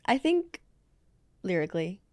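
A woman's voice: mid-range voice, very animated, a little slow, dry recording. A young woman from the Philippines, a singer answering interview questions casually and hesitantly, with many fillers as she searches for words. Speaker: guest singer.

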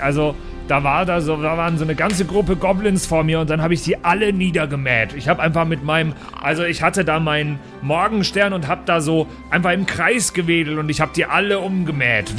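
A man plays an eager young dwarf bragging in a fantasy audio play. He speaks in a fairly high voice, halting and circling back over his words, over clearly audible music. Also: a bit bright, close to an everyday voice. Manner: halting, rambling, repeats himself. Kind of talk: improvised fantasy audio roleplay.

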